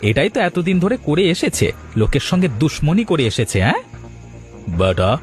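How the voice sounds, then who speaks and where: A man playing a very animated blustering village elder. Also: fairly high pitch, a little fast. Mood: stern, accusing. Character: blustering village men, stern protective uncle and landlord. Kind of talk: Bengali cartoon folktale acting. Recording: music bed behind the voice.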